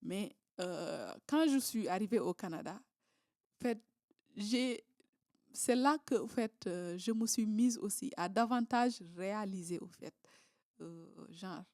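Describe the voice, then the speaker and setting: A woman's voice: medium pitch, expressive, takes it slowly, darkish tone, no echo, studio quality. A woman from southern Senegal, a Creole speaker, talking in a casual interview with many fillers and restarts. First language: Creole, Diola and Wolof. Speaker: guest.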